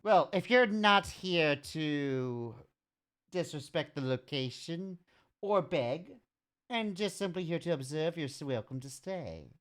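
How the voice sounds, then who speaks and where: A woman voicing a calm, polite male priest who stays coldly unfazed, speaking in a slightly lower, unhurried voice. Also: clear, resonant tone.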